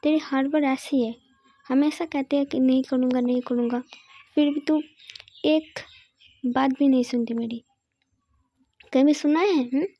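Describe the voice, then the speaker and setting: A woman's voice: high voice, steady tone, unhurried, pauses often, highs cut off. A woman voicing a stern young wife who scolds and complains about her sister-in-law in a story. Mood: scolding.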